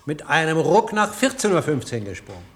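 A man acting in a radio play as an impatient scientist who lectures in a brisk, dryly ironic, didactic way. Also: fairly high pitch, big pitch swings. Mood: lecturing, animated. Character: impatient, ironic male scientist, a lecturing professor explaining theories. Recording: noisy old radio play recording.

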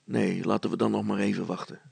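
Brilstra, a man doing a cautious, gravelly worrier. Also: lowish voice, flat delivery, fast talker, darkish tone, no echo, full character voice. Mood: hesitant, resigned. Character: Brilstra, worried, hesitant man searching for his boy.

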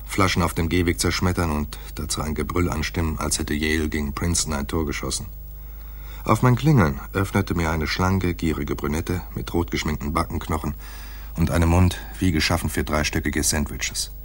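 An actor playing a laconic hard-boiled private detective in a noir radio play, with a dry, terse delivery and a husky voice. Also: male voice. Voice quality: husky, very deep. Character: hard-boiled male private detective narrating his own case. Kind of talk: noir radio play, first-person narration.

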